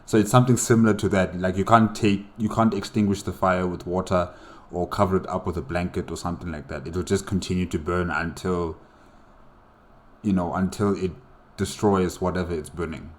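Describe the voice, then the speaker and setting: A man's voice: sits low, steady tone, a little fast. A man hosting a casual solo reaction, explaining things in a hesitant, conversational way, with faint music behind. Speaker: reaction host.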